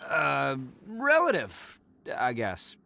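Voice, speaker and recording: A man's voice: medium pitch, wildly swooping pitch, extremely slow, creaky, pushed and bright, slight background noise, full character voice. A man playing a boastful but good-hearted young hero in an anime-style video game, showy and jovial. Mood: confident. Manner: showy, self-satisfied, jovial.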